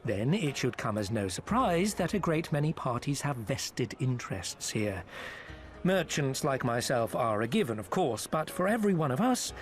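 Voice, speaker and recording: An actor playing a smooth-talking, foppish male merchant agent in a game cutscene, speaking in a theatrical, flowery, ingratiating way. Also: medium pitch, big pitch swings, normal speed, lightly acted. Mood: explaining. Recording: with background music.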